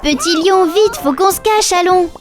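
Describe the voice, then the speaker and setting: A woman voicing a mischievous, gleeful little child creature in a children's cartoon, squeaky and full of exclamations. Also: moderate pace, noisy background. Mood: eager. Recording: music clearly playing behind the voice.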